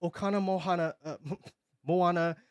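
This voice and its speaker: A man's voice: high voice, fairly even intonation, unhurried, smooth, no room sound. A man streaming casually, thanking viewers and joking around. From Hawaii. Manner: goofy, joking, slips into singing.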